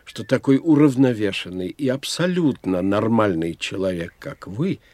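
An actor playing a self-assured, eccentric older doctor of the soul in an audio drama, speaking in a pondering, indulgent, lecturing manner. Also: male voice, lowish voice, expressive, moderate pace, a little mellow, no room sound, natural voice. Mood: patient, lecturing. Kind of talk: theatrical audio drama dialogue.

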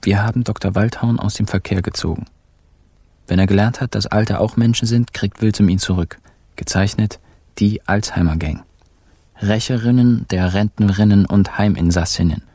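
An actor narrating a radio crime comedy as a wry, cheeky kidnapper, in a dry, colloquial way. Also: male voice, on the low side, normal speed, mellow, husky, dry recording. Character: wry, cheeky male kidnapper telling his own caper. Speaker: first-person narrator. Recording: music behind the voice.

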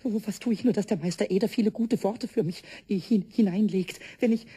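A man voicing a small, cheeky, nervous male kobold in a radio play, stammering and pleading in a squeaky voice. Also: a little fast, dark tone, husky. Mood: shaky, scheming. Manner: stammering, pleading, breaking off and repeating words. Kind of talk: German radio play acting.

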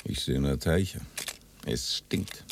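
A man's voice: very deep voice, takes it slowly, gravelly, a little hiss or hum, heavily acted. An actor playing a cautious, grumbling male radio editor who is uneasy and reluctant, in a naturalistic radio drama. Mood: disgusted, uneasy. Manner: wary, grumbling.

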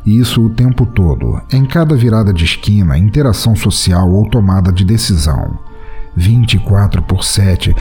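A man reads a dark first-person tale with a brooding, bitter intimacy. His low, mellow voice moves expressively, with soft music beneath. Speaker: podcast narrator. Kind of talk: dramatic first-person story narration.

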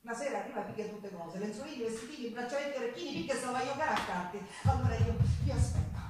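A woman's voice: a little high, racing, background noise, natural voice. An actress playing a poor, embattled Sicilian wife and mother in a dramatic stage monologue, with a Sicilian accent and a raw, emphatic storytelling manner. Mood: grim, weary. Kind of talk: dramatic theatre monologue with folk singing. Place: theatre stage, live performance. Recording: echoey stage, distant mic, guitar behind. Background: live acoustic guitar.

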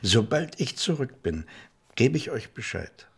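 An actor playing a greedy, good-humoured castle steward in a radio play, chatty in manner. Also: male voice, mid-range voice, sing-song, measured pace, gravelly, a bit bright, slightly rough, full character voice. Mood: jovial.

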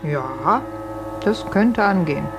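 A woman's voice: on the low side, lively intonation, takes it slowly. An actress playing a motherly, sensible confidante who soothes and chides in a 1950s radio play. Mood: soothing. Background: music.

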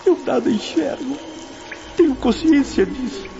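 A man's voice: extremely high pitch, unhurried, creaky, music in the background, exaggerated voice. An actor playing a blind, wounded man who has taken his own life, frightened and desperate, in a radio drama. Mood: anguished. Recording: dull recording with loud noise behind.

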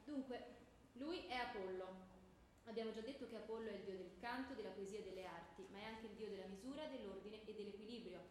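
A woman playing a brisk classroom teacher who quizzes and lectures pupils, in comic stage radio theatre. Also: medium pitch, lightly acted. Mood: brisk, instructive. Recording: very echoey room with faint noise.

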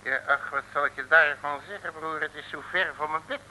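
Cheeky, very bright-voiced folksy detective. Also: male voice, on the high side, fairly even intonation, a little fast. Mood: nonchalant. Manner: colloquial, jocular, slangy. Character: cheeky folksy male detective, jovial and unflappable. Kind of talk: 1950s Dutch radio serial acting. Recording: noisy old radio recording.

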